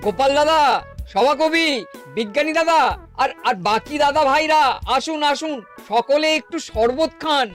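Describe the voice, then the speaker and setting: High-pitched, earnest cartoon villager. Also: normal speed, fairly bright tone, soft background music. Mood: hospitable. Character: humble, aggrieved villager, a father, pleading for help. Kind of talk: cartoon voice acting.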